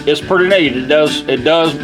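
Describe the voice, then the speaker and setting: A man's voice: a little high, normal speed, music in the background, low-quality recording. A man giving a casual, plain-spoken hands-on product demonstration in an informal way. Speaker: car radio restorer demonstrating product.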